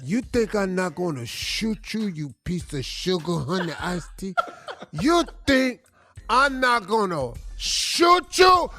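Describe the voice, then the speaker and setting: A man's voice: sits high, very animated, slow pace, bright, forceful tone, voice pushed up, full character voice. A man putting on a poor tough-guy gangster impression, with mock-menacing threats. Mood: threatening.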